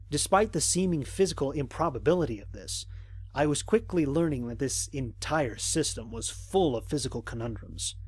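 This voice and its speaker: A man playing a curious, methodical space explorer in an audio drama, narrating his mission log in a measured, formal way. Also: on the high side, slightly rough, no echo.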